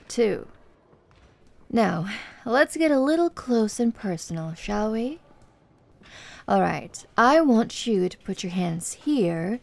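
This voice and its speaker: Woman acting a slow, creaky-voiced flirty tomboy fighter. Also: mid-range voice, exaggerated voice. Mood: instructing, playful. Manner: slow, teasing, giggly, encouraging. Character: flirtatious confident tomboy MMA fighter woman, playful, seductive coach. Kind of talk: ASMR roleplay, personal address to listener.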